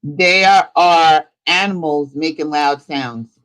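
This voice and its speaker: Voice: medium pitch, takes it slowly, a bit bright, poor audio. A live stream host chatting casually and informally, thinking aloud while giving a tour of a virtual world.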